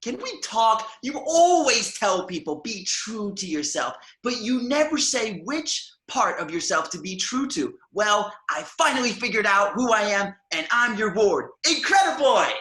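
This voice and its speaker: Man as whiny eager boy sidekick, bright sharp voice, animated pitch, pushy, pleading, echoey room. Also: a little slow, few pauses, full character voice. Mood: indignant, insistent. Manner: excitable, pleading delivery. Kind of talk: online table read of a film script.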